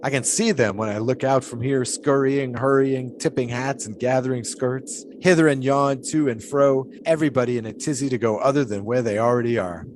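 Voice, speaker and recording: A man acting in an audio drama as an eccentric, devout 1920s daredevil philosopher, speaking in florid, unhurried, theatrical musings. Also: medium pitch, fairly even intonation, darkish tone, slight background noise, lightly acted. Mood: musing, serene.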